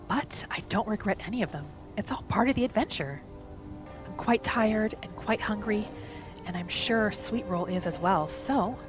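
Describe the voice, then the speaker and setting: A woman narrating her game roleplay in character, with a gentle, musing manner as if talking to herself and her pets. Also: medium pitch, rough recording. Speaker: roleplay gaming host. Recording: music behind the voice. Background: background music.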